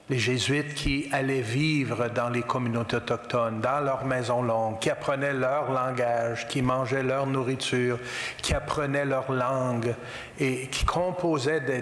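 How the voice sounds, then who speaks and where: A man from Quebec with a Québécois French accent, answering press questions in a measured, hesitant way. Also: medium pitch, fairly bright tone. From Quebec, Canada. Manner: measured, thoughtful, with frequent hesitations. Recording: echoey hall with faint background noise.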